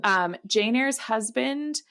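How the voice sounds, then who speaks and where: A woman's voice: a little high, animated, slow pace, pushed and bright. A woman co-hosting a podcast, chatting casually about books in a conversational style. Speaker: podcast co-host. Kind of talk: casual book chat.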